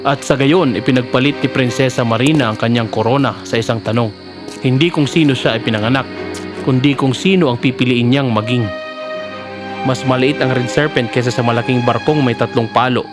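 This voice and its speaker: A man's voice: mid-range voice, fairly quick, close to an everyday voice. A man narrating a scripted fairy tale as the storyteller, with music behind his voice.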